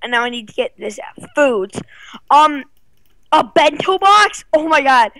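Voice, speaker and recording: A young, high and bright voice, like a child or early teen, chats casually as a co-player in a game. The manner is playful and chattering, and the sound is dry. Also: animated, unhurried, dry recording. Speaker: co-player guest. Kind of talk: casual gaming chat.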